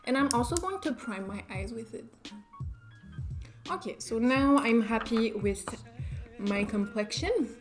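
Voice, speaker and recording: A woman's voice: fairly high pitch, animated, unhurried, pauses often, soft background music. A young woman with a French Canadian accent, chatting casually through a makeup tutorial just after waking up, self-deprecating and easygoing. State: just woke up. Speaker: beauty vlogger.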